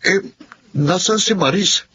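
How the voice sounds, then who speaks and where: A man's voice: high-pitched, slow, crowd noise. A man with a Filipino accent playing a concerned, respectful young friend in a melodramatic radio drama. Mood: earnest concern. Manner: earnest, concerned.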